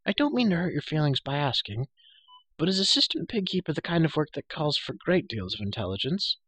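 Man voicing a bossy, high-pitched young storybook girl. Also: very animated, brisk, a bit bright, breathy edge, no room sound, heavily acted, rough recording. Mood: breezy, blunt. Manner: prattling, matter-of-fact, indignant. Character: chatty, bossy, scolding young girl of royal birth. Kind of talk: storybook character voice.

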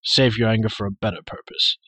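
A man's voice: fairly low pitch, fairly even intonation, dry recording. Man reading as a grave, wise warrior lord. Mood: gentle. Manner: measured, grave and gentle. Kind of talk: audiobook character voice.

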